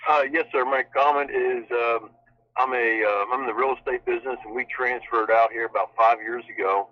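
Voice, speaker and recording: Male caller giving an appreciative, rambling testimonial, medium pitch, close dry sound on a muffled phone. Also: steady tone, moderate pace, dry recording. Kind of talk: spontaneous testimonial on a public call. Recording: muffled, dry telephone line.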